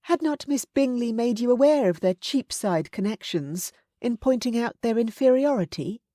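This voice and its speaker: A woman's voice: a little high, lively intonation, moderate pace, mellow, no room sound, clean studio sound, voice pushed up, lightly acted. A woman reading aloud voices a forthright middle-aged aunt, pointed and scolding in a raised voice.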